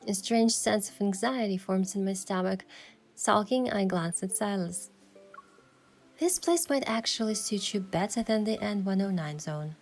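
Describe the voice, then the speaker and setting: A woman playing a sincere, easily flustered young heroine, narrating and speaking in a romance story with soft expression. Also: medium pitch, a little slow, natural voice. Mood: earnest. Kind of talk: first-person narration and dialogue in a romance story. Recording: music behind the voice.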